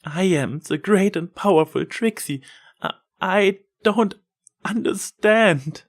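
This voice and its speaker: Guy doing a showy female pony magician, higher voice, proud n dramatic, storybook reading. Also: takes it slowly. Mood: distraught. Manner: boastful, dramatic.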